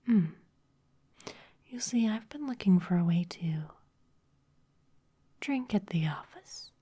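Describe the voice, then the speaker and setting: A woman's voice: medium pitch, expressive, warm tone. Woman acting a whispering, seductive vampire boss. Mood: coaxing, seductive. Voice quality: breathy, mostly whispered. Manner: slow, teasing, commanding. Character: seductive, domineering female vampire office boss. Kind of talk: ASMR roleplay.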